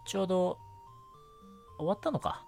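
Animated male radio host. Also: sits high, moderate pace, creaky. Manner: filler-heavy, stumbling over words, self-correcting. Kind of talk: solo radio show segment, reading listener mail and chatting. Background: background music.

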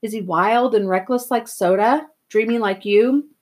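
A woman's voice: a little high, a little slow. Confident teenage girl for a novel reading, played by a woman, direct, insistent, strong-willed. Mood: curious, prodding.

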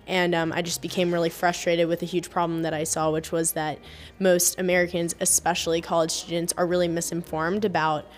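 A woman who is a journalism fellow, talking in an animated way about the problems she wants to fix. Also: on the low side, a little fast. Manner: animated, self-described political junkie.

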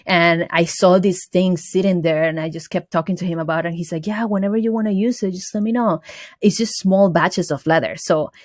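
A woman's voice: lowish voice, fairly even intonation, brisk, darkish tone, no room sound, clean studio sound. A woman from Venezuela with a Venezuelan accent, a guest talking earnestly about her small business and often restarting words mid-thought. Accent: Venezuelan Spanish. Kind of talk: casual interview chat.

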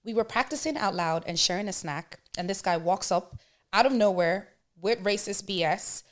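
A woman podcast host with a mid-pitched voice talks casually among friends. She is animated and slangy, and slips easily between reading a story aloud and chatting. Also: moderate pace.

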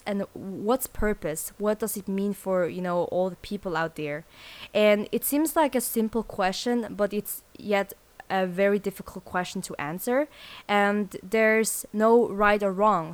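Young woman, solo podcast host, earnest and chatty, mid-pitched voice. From born in South Korea, grew up in Switzerland. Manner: earnest, lots of "you know" fillers.

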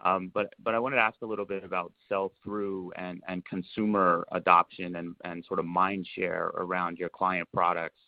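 Low, flat-voiced man on a phone line. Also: normal speed, no echo. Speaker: financial analyst asking questions. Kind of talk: unscripted earnings call question. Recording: tinny, dry phone line.

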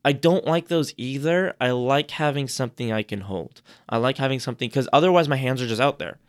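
A man giving casual advice on public speaking on a podcast, chatty and rambling, restarting his sentences often, his voice a little hoarse. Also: mid-range voice, fairly quick. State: slightly hoarse. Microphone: podcast microphone.

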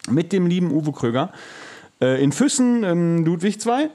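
A man's voice: on the high side, sing-song, normal speed, warm tone. A man co-hosting a podcast, telling stories in a casual, colloquial and blunt way with some swearing. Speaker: podcast co-host; musical singer and actor. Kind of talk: casual storytelling chat.

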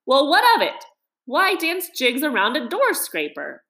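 Storybook mole, played by a woman: small, male, indignant and literal-minded, with very lively, swooping delivery. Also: sits high, measured pace, voice pushed up, full character voice. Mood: dismissive, grumbling. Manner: plaintive, pettish, indignant.

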